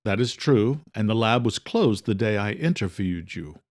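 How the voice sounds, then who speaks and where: A man playing a courteous older male doctor in an audio drama, speaking in a measured, formal way. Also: on the low side, animated, natural voice. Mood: calm, formal. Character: composed, courteous older British doctor and researcher.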